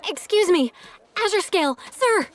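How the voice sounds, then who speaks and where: A woman's voice: extremely high pitch, animated, moderate pace, some chatter behind. A woman playing a deferential, easily flustered villager in an audio drama, apologetic and eager to please. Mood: flustered, pleading.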